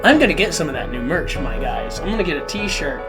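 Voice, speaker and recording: A man hosting a reaction video, talking casually and excitably in slangy, repetitive bursts. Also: fairly high pitch, fairly quick, loud music behind the voice. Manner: excitable, informal, slangy, repeats phrases. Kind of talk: casual live reaction commentary.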